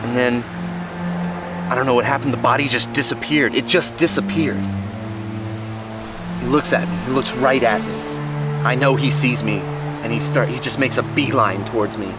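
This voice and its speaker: Guy acting a spooked survivor telling a scary story, halting n grave, loud music behind. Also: medium pitch, steady tone, close to an everyday voice. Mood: haunted, fearful. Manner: halting, grave, repeats himself. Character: awestruck, haunted male wanderer recounting a demonic killer. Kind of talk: film dialogue, campfire horror tale. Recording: loud music over the dialogue.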